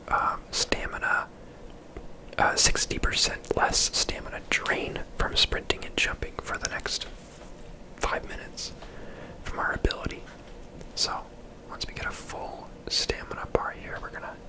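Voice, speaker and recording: An ASMR video host whispering casual, rambling gameplay commentary in a breathy, unvoiced voice. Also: poor audio. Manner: soft-spoken, rambling, casual.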